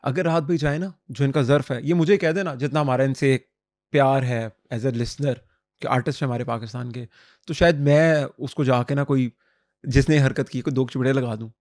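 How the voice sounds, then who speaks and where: A man talks bluntly and with emphasis, his pitch in an ordinary middle range. The sound is dry and close, with almost no room around it. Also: fairly even intonation, moderate pace, a little mellow, no echo. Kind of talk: casual interview talk.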